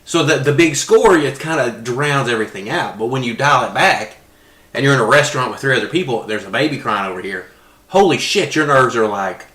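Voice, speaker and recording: Man, roomy echo, medium pitch, podcast panelist, casual explanatory talk. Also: lively intonation, fairly quick, sharp tone. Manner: explains through everyday analogies. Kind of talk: casual film discussion. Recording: roomy, echoing sound.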